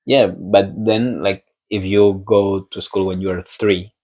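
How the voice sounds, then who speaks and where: A young man from Spain with a Spanish accent, a podcast guest talking in a relaxed, halting way and laughing often. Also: sits low. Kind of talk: casual conversation.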